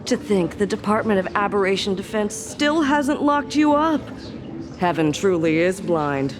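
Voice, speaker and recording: A woman's voice: mid-range voice, lively intonation, measured pace, a crowd in the background, close to an everyday voice. A woman voicing a tired, sarcastic hospital doctor in a game, dry and world-weary. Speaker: game character. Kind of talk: game dialogue. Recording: game audio with music underneath.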